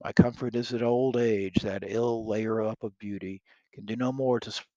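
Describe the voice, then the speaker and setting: A man's voice: lowish voice, fairly even intonation, moderate pace, mellow, dry recording, highs cut off, lightly acted. A man reading the part of a bold young English king wooing a princess, declaiming the old verse earnestly. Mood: ardent.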